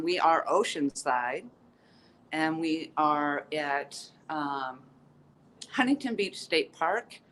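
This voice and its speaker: A woman's voice: lowish voice, fairly bright tone, slight background noise. A woman hosting a casual live-stream tour in an outdoor courtyard, chatty and upbeat with many ums as she greets viewers, sounding stuffy-nosed and sniffly.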